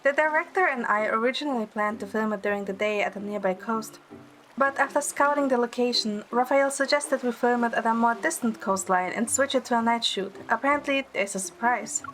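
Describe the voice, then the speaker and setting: A woman's voice: a little high, fairly quick, a bit bright, faint music behind, natural voice, poor audio. A woman playing a wry, affectionate young heroine who narrates her own romance in an audio drama. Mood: narrating. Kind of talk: first-person narration and dialogue in audio drama.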